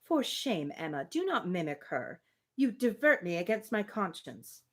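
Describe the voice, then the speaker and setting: A woman reading a gently persistent married woman from a Regency novel, formal, teasing and persuasive. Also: lowish voice, sing-song, unhurried, heavily acted. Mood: gently chiding.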